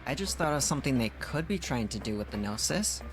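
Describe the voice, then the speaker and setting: A woman's voice: extremely low pitch, lively intonation, brisk. A woman game streamer giving casual commentary as she plays a video game. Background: game music.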